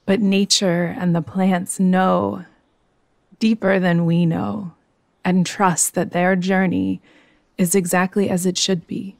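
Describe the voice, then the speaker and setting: A woman guides a meditation in a soothing, unhurried manner, leaving long pauses between phrases. Her voice is lowish and stays very even, with little rise or fall. It is recorded close and dry, with no room sound. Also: vocal fry, dry recording. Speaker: yoga nidra meditation teacher.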